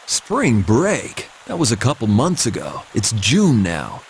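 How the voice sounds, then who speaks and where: A stern, lenient cop from an anime game dub. He lectures firmly and takes his time, over a clear background hiss. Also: lowish voice, wildly swooping pitch, full character voice, poor audio. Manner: firm, unhurried, admonishing. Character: stern but lenient adult policeman lecturing kids.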